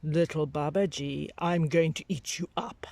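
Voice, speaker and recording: A woman voicing a menacing, boastful tiger for a children's storybook, with slow, partly whispered threats. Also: deep voice, dark tone, no echo.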